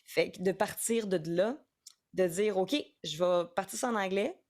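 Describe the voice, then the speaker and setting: A woman's voice: medium pitch, moderate pace, darkish tone. A young woman with a Quebec French accent, a singer-songwriter chatting casually in an interview and often restarting her words.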